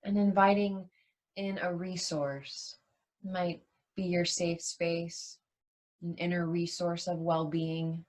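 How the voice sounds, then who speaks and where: Slow, even-toned woman guiding meditation. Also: fairly low pitch. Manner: soothing, unhurried, with long pauses between short phrases. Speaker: yoga nidra meditation teacher. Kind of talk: guided meditation.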